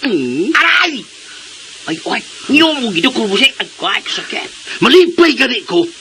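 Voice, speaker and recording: Excitable, high-pitched whining fellow. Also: male voice, a little slow, slight background noise, full character voice. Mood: whiny, excited. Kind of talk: radio drama acting.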